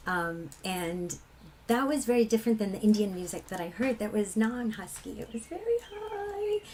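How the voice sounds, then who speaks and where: A woman from England with a low, husky voice, a singer telling personal stories in a reflective conversation. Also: lively intonation, normal speed, a little mellow, a little hiss or hum, rough recording. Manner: reflective, laughs often while telling stories. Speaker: kirtan singer.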